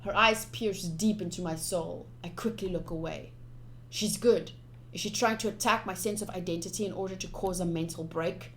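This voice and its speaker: A woman's voice: on the low side, moderate pace, slight background noise. A woman game streamer giving a playful, theatrical read-aloud of a visual novel with casual commentary. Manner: expressive, playful, theatrical reading. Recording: game music behind.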